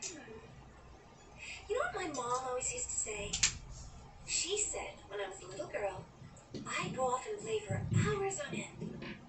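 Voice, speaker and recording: A woman's voice: sits high, sing-song, unhurried, pauses often. An actress playing a wistful, dreamy young woman who tells her own story in an intimate, girlish way in an audio drama. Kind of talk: audio drama acting with first-person narration. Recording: roomy, distant, noisy copy of audio drama.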